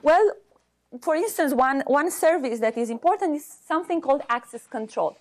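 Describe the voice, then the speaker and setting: A woman with a Romanian accent giving an unscripted academic seminar talk as a university researcher, halting as she searches for words. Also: sits high, expressive.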